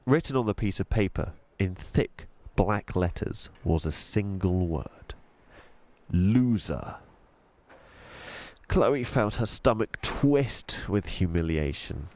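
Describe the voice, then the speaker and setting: A man narrating a children's audiobook in an expressive storytelling style. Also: deep voice, unhurried, few pauses, a little hiss or hum.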